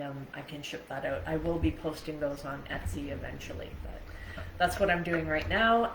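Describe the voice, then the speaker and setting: A middle-aged woman with a Canadian accent and a slightly low voice talks off the cuff, like a livestream host. She is chatty and wanders from point to point. The room is clearly echoey, with some faint noise behind her. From Ontario, Canada. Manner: chatty, laughs readily at herself, digressive. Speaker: host, trauma coach livestreamer. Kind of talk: casual rambling livestream chat.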